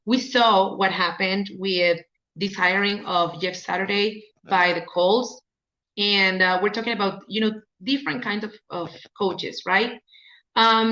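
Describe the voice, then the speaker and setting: A woman's voice: medium pitch, a bit bright. Mexican-accented woman, football podcast host, loose rambling delivery with lots of ums, slightly dull lo-fi audio. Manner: rambling, thinks aloud with many fillers. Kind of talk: casual sports talk.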